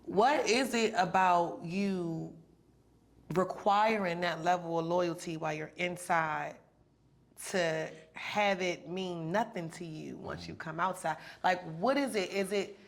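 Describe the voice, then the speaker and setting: Probing woman host with gravelly voice. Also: lowish voice, slow pace. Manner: attentive, probing, with frequent murmured agreement. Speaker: podcast host. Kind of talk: casual interview conversation.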